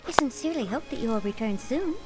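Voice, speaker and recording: A polite, earnest female assistant, played by a woman in a high voice. She speaks formally but flusters easily, as a dutiful game character with music playing behind her. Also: animated, quick pace, natural voice. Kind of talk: video game voice acting.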